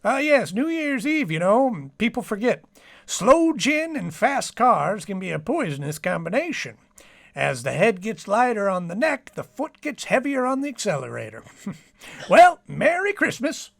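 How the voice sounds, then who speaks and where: A man's voice: sits high, very animated, unhurried, gravelly, fairly bright tone, dry recording, exaggerated voice. A man playing a jovial, teasing doctor in an old-time radio comedy, wordy and wry. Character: jovial, teasing male doctor and family friend.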